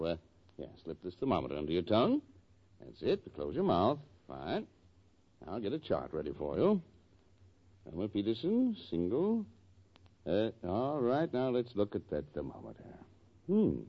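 An actor playing a polite but exasperated doctor, formal and a bit flustered, in a 1940s radio comedy. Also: male voice, on the low side, huge pitch swings, normal speed, heavily acted. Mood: strained patience. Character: exasperated, polite male doctor with dry patience. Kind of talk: 1940s radio sitcom acting. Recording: dull vintage 1940s radio.

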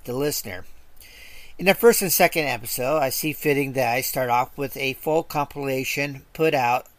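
A man's voice: medium pitch, fairly bright tone, no echo. A man reading a scripted introduction as a podcast host.

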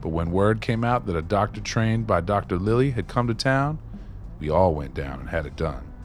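A man's voice: sits low, lively intonation, normal speed, a little hiss or hum. An actor playing a streetwise, wry former maintenance man, telling stories in a folksy, colloquial way in a radio play. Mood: reminiscing. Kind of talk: radio play dialogue, reminiscing.

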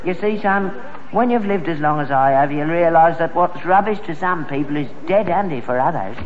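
A man's voice: a little high, expressive, moderate pace, a little hiss or hum, close to an everyday voice. An actor playing a stubborn, grubby old London rag-and-bone man and father in a sitcom, grumbling and wheedling in working-class slang. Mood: pleased, defiant. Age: older. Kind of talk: British sitcom acting before an audience. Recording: dull archive recording with studio audience.

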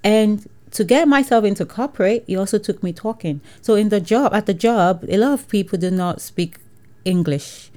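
A woman's voice: mid-range voice, moderate pace, dark tone. A woman with a Nigerian accent, an entrepreneur telling her story in a casual interview, acting out dialogue and laughing often. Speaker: entrepreneur guest.

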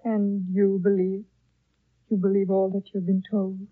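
An actress playing a gentle, earnest young woman with soft, deliberate speech, in 1930s radio drama style. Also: medium pitch, level tone, no room sound, natural voice. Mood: anxious, hushed. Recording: dry, very narrow old 1930s radio recording.

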